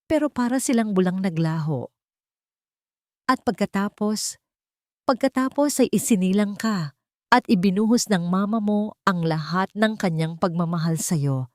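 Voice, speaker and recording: A woman's voice: medium pitch, measured pace, darkish tone, no echo, clean studio sound, lightly acted. A woman with a Filipino accent voicing a regretful older father in an audio story, telling his past with heavy remorse. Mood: regretful, sorrowful.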